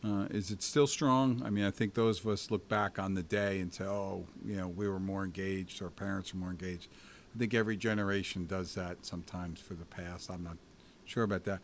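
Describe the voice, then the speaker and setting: A man's voice: low voice, steady tone, brisk, slight background noise. A middle-aged man hosting an interview, asking questions conversationally and often restarting them mid-sentence. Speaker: host.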